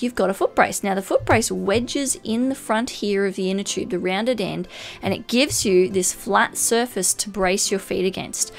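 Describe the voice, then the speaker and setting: Woman, enthusiastic shop presenter, easy mid voice over soft music. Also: mid-range voice. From Australia. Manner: enthusiastic, chatty, with fillers and asides. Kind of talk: product walkthrough over slides.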